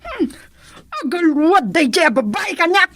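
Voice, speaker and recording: Shrill, scolding, jealous mother. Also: sing-song, normal speed, a little hiss or hum, full character voice. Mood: outraged. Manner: sharp, accusing. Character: bitter, scolding, jealous middle-aged mother and wife. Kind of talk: melodramatic radio drama acting.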